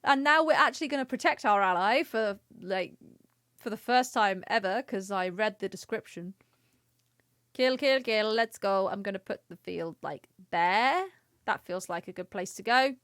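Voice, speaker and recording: A woman's voice: medium pitch, normal speed, a bit bright, dry recording, clean studio sound. A woman giving casual, chatty livestream commentary on a video game, playful and given to sing-song exclamations. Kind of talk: casual gaming stream commentary. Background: faint music.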